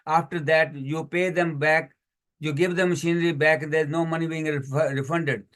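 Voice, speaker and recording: A man's voice: fairly high pitch, fairly even intonation, normal speed, fairly bright tone. A man giving a workshop talk on money over a video call, listing examples one after another in non-native English phrasing. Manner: explains with many lists and examples. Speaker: financial educator. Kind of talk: community workshop presentation.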